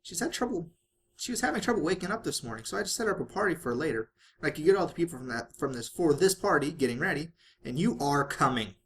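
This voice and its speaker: A narrator voicing a hyperactive, bubbly and bossy young female cartoon pony in an excitable, playful way. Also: medium pitch, quick pace, a little hiss or hum, lightly acted. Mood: bubbly, upbeat.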